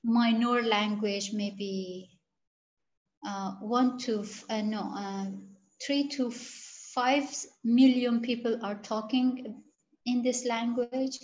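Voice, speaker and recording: Woman researcher with a fairly high voice. Gilaki speaker, careful and halting, on lo-fi video-call audio. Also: fairly even intonation, darkish tone. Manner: halting, searching for words, many restarts. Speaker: interviewed researcher. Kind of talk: interview answers.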